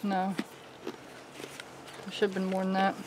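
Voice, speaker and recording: A middle-aged woman, a homestead vlogger, chatting casually and briskly as she counts her poultry in a coop. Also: mid-range voice, monotone. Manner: brisk, matter-of-fact counting. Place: metal-walled chicken coop. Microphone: camera on a tripod. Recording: distant camera mic, noisy coop. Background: clucking chickens and roosters.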